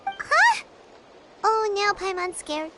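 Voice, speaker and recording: A woman voicing a small, excitable, easily scared and cheeky female fairy companion in a video game. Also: very high-pitched, takes it slowly, heavy background noise, exaggerated voice. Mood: scared, whiny. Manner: speaks of herself in third person, exclamatory. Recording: music behind the voice.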